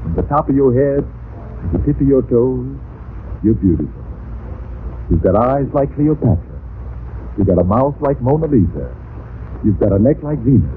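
A man's voice: mid-range voice, sing-song, moderate pace, long pauses, slight background noise. Folksy guy doing old time radio comedy bits, voice goes up and down a lot, tinny old broadcast sound. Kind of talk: 1940s radio comedy banter.

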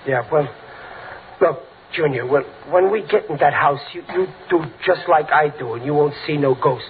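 A noisy, narrow old radio recording of an actor playing a jittery American family man in a comedy. His voice sits a little high, and he hedges and stammers as he blusters to hide his fear. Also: normal speed, close to an everyday voice. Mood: uneasy. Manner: stammering, hesitant. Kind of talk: 1940s radio comedy acting.

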